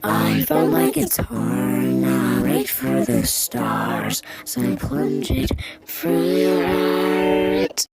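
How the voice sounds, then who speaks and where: A sinister puppet from a horror game croons menacing rhymes. The voice drops low and gravelly, dragging each line out very slowly. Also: female voice, very animated, over loud music, exaggerated voice. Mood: gleeful menace. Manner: slow, drawn-out, sing-song rhymes.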